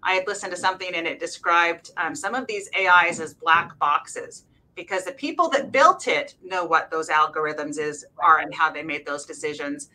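A woman's voice: low voice, moderate pace, sharp tone, rough recording. A woman on a faculty panel, talking informally and casually over a video call. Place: office, on a group video call.

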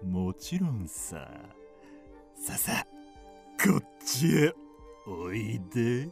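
An actor playing a lecherous, gloating bandit villain with a slow, leering delivery in a radio drama. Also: male voice, mid-range voice, sing-song, breathy edge, faint music behind, full character voice. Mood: lecherous coaxing. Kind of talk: Japanese radio drama acting.

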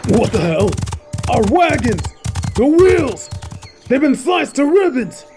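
A cruel, swaggering male wolf who leads a bandit pack in an audio drama. The voice sits high for a male and has a gravelly edge. He draws out his taunts at an unhurried pace and barks commands with open menace. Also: big pitch swings, background noise, full character voice. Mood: angry disbelief. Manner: taunting, swaggering, barking orders at his gang. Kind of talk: scripted fan audio roleplay acting.

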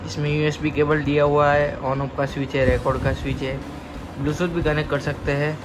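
Young man, even-toned higher voice. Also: a little fast, background noise. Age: young adult. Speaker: vlogger. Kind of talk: casual vlog narration to viewers. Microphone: phone at arm's length.

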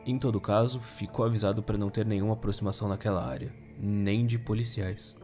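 A man's voice: on the low side, fairly even intonation, fairly quick, a little mellow, faint music behind, lightly acted. A Brazilian man acting in an audio drama as a dutiful, wary police soldier with a formal, cautious manner. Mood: guarded, informative.

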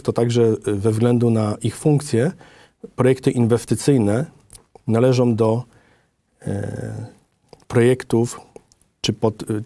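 A middle-aged man delivers a formal professional presentation. His voice is dark and mellow, recorded dry and close, and he sets out his points methodically at a measured rate. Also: mid-range voice, no room sound. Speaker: design office director presenting.

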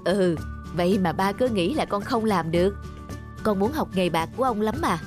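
A woman voicing a stern, doubting father in an animated moral story, with a blunt parental manner. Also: medium pitch, moderate pace, music in the background, natural voice. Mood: softened, proud. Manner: scolding, blunt.

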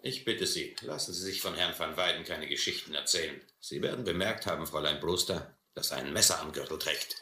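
An actor playing a domineering, mocking sea captain in an audio adventure drama, sardonic and condescending. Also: male voice, very deep voice, expressive, fairly quick, few pauses, bright, forceful tone, exaggerated voice. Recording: roomy old radio-play recording, faint noise.

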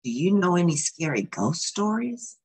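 A woman voicing a friendly, considerate little girl in a storybook, asking things softly. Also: fairly low pitch, big pitch swings, moderate pace, husky, exaggerated voice. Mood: curious. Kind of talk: storybook read-aloud.

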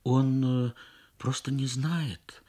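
A man's voice: mid-range voice, steady tone, slow, mellow, breathy edge. A man voicing a careful young woman interpreter in a radio story, hesitantly relaying an apology. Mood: hesitant, regretful.

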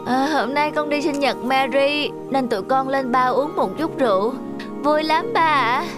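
A high, girlish voice over clear music: a headstrong young cartoon daughter acted by a woman. She is expressive and carefree, in animated dubbing style. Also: normal speed, lightly acted. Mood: tipsy. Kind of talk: animated moral-tale dubbing.

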